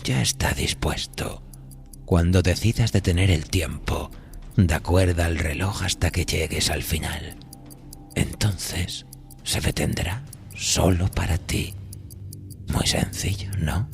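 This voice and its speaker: Man acting a gravelly, whispery devilish conductor. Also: heavily acted. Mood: persuasive, unhurried. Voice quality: low, gravelly, breathy near-whisper. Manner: unhurried, insinuating, amused, addresses the listener familiarly. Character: sly diabolical train conductor, a smooth devilish dealmaker. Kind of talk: audio horror drama acting. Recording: music behind the voice.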